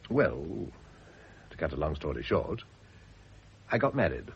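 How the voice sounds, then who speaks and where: Deep-voiced, evasive English gentleman. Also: big pitch swings, a little slow, full character voice. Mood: falsely breezy. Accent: British. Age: middle-aged. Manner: hesitant, urbane, falsely breezy. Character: evasive, self-deluding middle-aged English gentleman, newly married. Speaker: actor in a radio play. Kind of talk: 1970s radio drama acting. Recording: dull, noisy lo-fi old radio recording.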